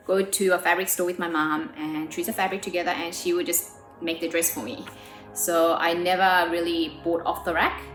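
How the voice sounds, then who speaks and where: Woman, thirties, born in South Korea: earnest pianist interviewee, low-ish voice, roomy sound, faint music behind. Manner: earnest and warm, with frequent "um" pauses. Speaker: pianist and composer. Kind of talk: documentary interview.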